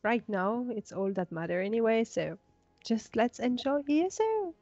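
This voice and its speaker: A woman co-hosting a casual game webcast on a headset mic at home, eager and quick to agree. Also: on the high side, big pitch swings, a little mellow, dry recording, muffled recording, faint music behind. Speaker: co-host. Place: home room. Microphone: headset with boom mic.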